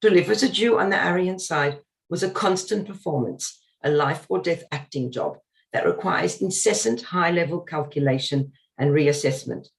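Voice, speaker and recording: A man's voice: fairly high pitch, creaky. A man giving an earnest community lecture, partly read from notes, with frequent ums and uhs as he explains. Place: synagogue talk.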